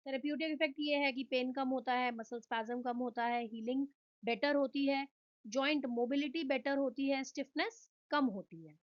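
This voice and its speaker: A woman's voice: sits high, moderate pace, lo-fi sound. A woman with an Indian accent giving an explanatory physiotherapy lecture, posing questions and answering them in listed points. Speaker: physiotherapy instructor. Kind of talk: explanatory lecture mixing Hindi and English.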